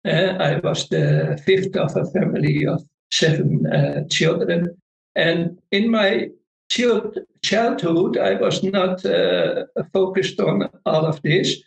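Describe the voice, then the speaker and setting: Middle-aged Dutch man, teacher type, unhurried non-native English with a gravelly edge, recorded in a roomy space. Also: high voice, animated. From Netherlands. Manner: slow, halting non-native English with frequent restarts. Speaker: Vedic meditation teacher. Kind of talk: casual interview conversation.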